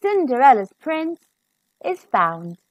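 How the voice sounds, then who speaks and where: A woman reading a rhyming storybook to children in an expressive, sing-song, carefully enunciated way. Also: high-pitched, slow, dry recording, muffled recording.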